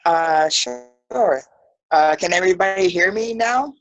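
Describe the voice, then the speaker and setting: A woman's voice: on the low side, measured pace, dull audio. A woman in her thirties from Brazil, an English teacher, chatting casually about a comedy film on a video call. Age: 30s. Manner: relaxed, chatty, lots of "you know". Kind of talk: casual storytelling on a livestream.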